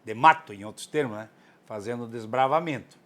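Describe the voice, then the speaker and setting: An older man from southern Brazil answers an interviewer with steady enthusiasm. He talks in earnest, leaning on frequent little tags as he goes. His voice sits at an ordinary middle pitch for a man. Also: a little fast. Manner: frequent tag "né". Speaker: interviewee, author.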